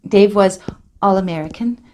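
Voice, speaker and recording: A woman's voice: medium pitch, moderate pace, darkish tone, voice pushed up, lightly acted. A woman performing a dramatic monologue as an earnest, emotional survivor, confiding and hesitant in direct address. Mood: fond, wistful.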